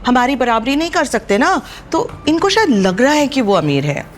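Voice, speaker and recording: A woman's voice: high-pitched, big pitch swings, moderate pace, a little hiss or hum. A woman playing a haughty, boastful middle-aged aunt in an audio drama, with a snide, theatrical, taunting manner. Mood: condescending. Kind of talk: Hindi audio drama acting.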